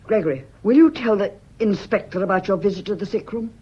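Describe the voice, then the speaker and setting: A woman acting a proud, scornful British mistress in a radio melodrama. Her voice is husky, and she speaks with biting, dramatic emphasis and betrayed intensity. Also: mid-range voice, quick pace, dark tone, highs cut off, lightly acted. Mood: accusing.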